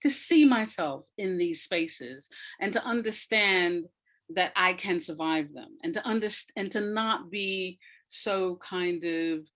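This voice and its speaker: An older woman speaking reflectively as an interview guest, in a measured, deliberate way with emphasis on key words. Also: on the low side, a bit bright. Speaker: guest, playwright and retired academic.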